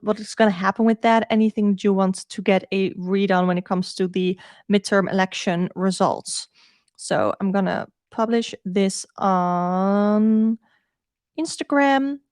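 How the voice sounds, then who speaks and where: A woman with a Dutch accent talks casually to a live stream as a tarot reader. Her pitch sits in the middle for a woman, and she thinks aloud, circling back over her words. The sound is dry, with very little room in it. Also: a little mellow, no room sound. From Netherlands. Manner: informal, thinks aloud, repeats herself.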